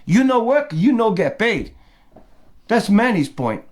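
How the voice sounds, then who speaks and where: A man's voice: high-pitched, sing-song, a little slow. A man playing a ranting middle-aged immigrant from Portugal, speaking broken English with a put-on Portuguese accent and calling himself by name in the third person. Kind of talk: comedic character monologue.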